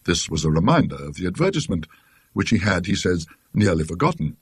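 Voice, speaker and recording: British guy doing old ghost story audiobook, dry and scholarly, bit husky, very close dry sound. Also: low voice, big pitch swings, a little fast, dry recording. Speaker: narrator.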